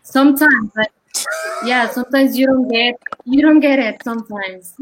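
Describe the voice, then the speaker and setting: A woman making lively, short remarks in a casual group chat. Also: fairly high pitch, moderate pace, noisy background.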